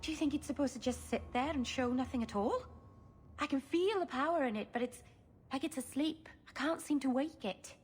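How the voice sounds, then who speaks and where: An actress playing a stubborn, anxious young elf woman in a video game, speaking earnestly and haltingly. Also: high-pitched, slight background noise, lightly acted. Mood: worried, frustrated. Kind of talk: dramatic video game acting.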